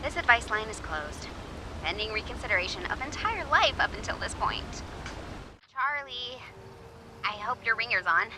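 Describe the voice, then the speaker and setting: A woman's voice: fairly high pitch, very animated, moderate pace, background noise. A woman playing an awkward, flustered young radio advice host in a video game, rambling, correcting herself and dryly sarcastic. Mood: dry, exasperated. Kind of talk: naturalistic video game voice acting.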